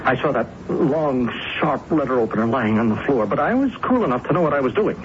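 A man's voice: medium pitch, animated, a little fast, lightly acted. A man playing a smug, evasive scoundrel in a radio drama, sardonic and hesitant. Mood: confessing, agitated. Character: male scoundrel, smug, evasive drug dealer and killer. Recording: muffled, noisy old radio recording.

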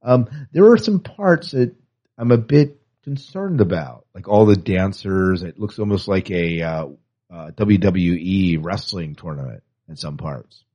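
A man's voice: deep voice, expressive, measured pace, warm tone. A man co-hosting a podcast, chatting casually and with a fan's enthusiasm about entertainment news, on a lo-fi recording. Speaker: podcast co-host. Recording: dull, lo-fi podcast audio.

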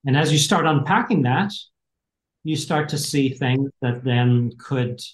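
An American man in his fifties talks things through slowly and reflectively as an interview guest, often pausing and restarting. His voice sits at an ordinary middle pitch. The sound carries a noticeable roomy echo. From United States. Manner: reflective, many fillers and restarts. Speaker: guest, executive coach. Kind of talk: casual interview talk.

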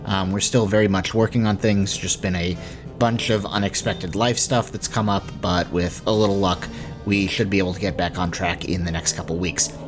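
A man's voice: sits low, steady tone, moderate pace, over music. A man hosting a podcast, giving an informal, conversational announcement to listeners. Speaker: podcast host.

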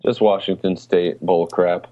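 A man with a low voice chats as a podcast co-host. He explains things informally, and the sound is thin and narrow, like a phone call. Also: fairly even intonation, a little slow, mellow, no echo. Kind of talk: casual podcast chat.

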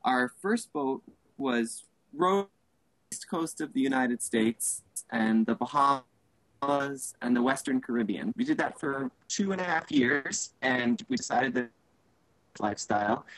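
A man with a medium-pitched voice answers casually as an interview guest. He talks haltingly with frequent pauses, and the sound is dry, with hardly any room in it. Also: expressive, fairly bright tone, no echo, rough recording. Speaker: podcast guest, sailor.

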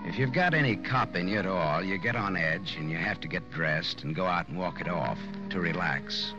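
A man's voice: deep voice, moderate pace, natural voice. A man playing a hard-boiled, wisecracking private detective who narrates his story in 1940s radio noir style. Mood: wry, moody. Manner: sardonic, slangy, wisecracking. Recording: dull old-time radio recording, loud music.

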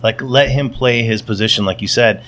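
A man's voice: medium pitch, moderate pace, fairly bright tone, slight background noise. A man in casual podcast chat, telling stories and tossing in Spanish words. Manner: chatty storyteller, tosses in Spanish words.

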